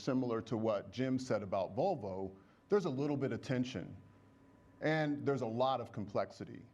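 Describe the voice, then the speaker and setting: A man's voice: medium pitch, darkish tone, muffled recording. Man speaking methodically on stage. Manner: structured, lists points in order. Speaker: guest speaker on diversity and inclusion. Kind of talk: stage conversation. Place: stage at a conference.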